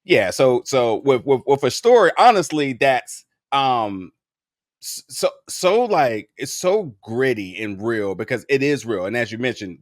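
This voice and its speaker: A man's voice: medium pitch, lively intonation, a little slow, no room sound, studio quality. A man interviewing a filmmaker, asking long rambling questions full of stumbling repeats.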